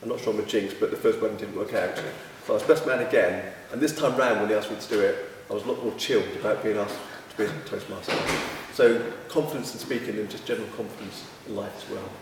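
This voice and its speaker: A man with a monotone voice asking and answering interview questions in plain, easy speech. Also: lowish voice, quick pace, hardly any pauses, noisy background. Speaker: club member. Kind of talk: casual interview. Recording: echoey hall from far off.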